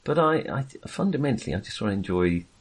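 A man co-hosting a film podcast, talking casually in a halting, rambling, self-deprecating way. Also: on the low side, very animated, breathy edge.

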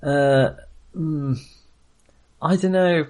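Halting man chatting about films. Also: on the high side, darkish tone. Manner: hesitant, halting, thinking aloud. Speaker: film podcast co-host. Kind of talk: casual film discussion.

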